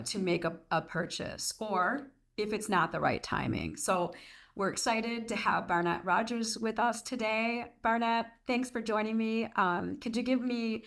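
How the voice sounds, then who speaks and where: A woman's voice: medium pitch, a bit bright. A woman hosting a business livestream in a conversational interview style.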